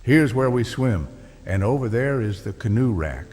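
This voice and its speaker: A man's voice: medium pitch, moderate pace, big-room sound, natural voice. A man voicing a cheerful, confident young boy at a summer camp, narrated in a horror story. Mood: confident, explaining. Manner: cheerful, steady, as if rehearsed.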